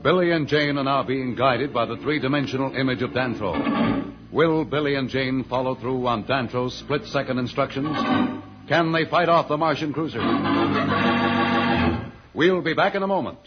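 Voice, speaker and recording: A man's voice: medium pitch, normal speed. A man announcing an old radio adventure serial, narrating a script in a dramatic, declamatory way. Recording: old muffled radio recording, loud organ music.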